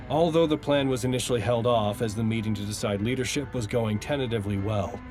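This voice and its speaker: A man's voice: fairly low pitch, natural voice. A man acting in an audio drama as an earnest, exasperated staffer caught between feuding factions. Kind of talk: audio drama acting with formal log narration.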